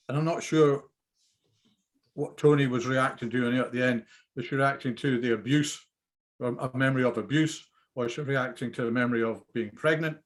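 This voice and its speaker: A man giving informal script feedback in a hesitant, rambling way, often repeating himself. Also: mid-range voice. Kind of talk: informal critique discussion.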